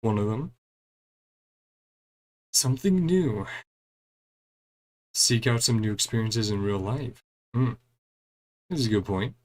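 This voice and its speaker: A man's voice: on the low side, slow pace, long pauses, darkish tone. A man streaming a game in a casual, chatty way, reading dialogue aloud and murmuring short reactions between the lines. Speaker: gaming streamer playing through a story quest.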